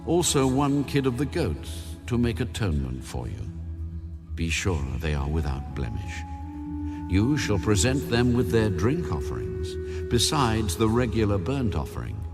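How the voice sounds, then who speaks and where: A man narrating scripture in a measured, solemn, deliberate way, like an audiobook of the Bible. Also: fairly low pitch, big pitch swings. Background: background music.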